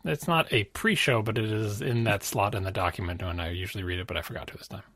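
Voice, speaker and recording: A man co-hosting a podcast, chatting casually with teasing, quick interjections. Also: lowish voice, a bit bright, no room sound. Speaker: podcast co-host. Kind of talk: casual chat.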